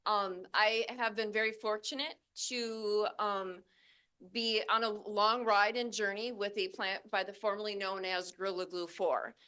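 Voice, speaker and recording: A woman presenting to a live audience in a loud, chatty, laughing way, speaking into a handheld microphone. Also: mid-range voice, steady tone, measured pace, clear, resonant tone. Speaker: cannabis breeder and presenter. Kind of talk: casual talk to a live audience. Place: event venue with live audience.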